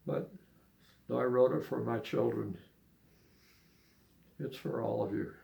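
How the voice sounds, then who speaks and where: An older man, an amateur poet, speaks in an unhurried, halting way as he reads verse and reminisces. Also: mid-range voice, steady tone, creaky, mellow. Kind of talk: poetry reading and casual reminiscing.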